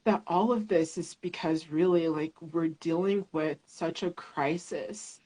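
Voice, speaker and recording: Woman guest, very low voice, thinks aloud with fillers, reflective. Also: unhurried, warm tone, rough recording. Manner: searching, many fillers and restarts, thoughtful. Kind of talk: reflective conversation.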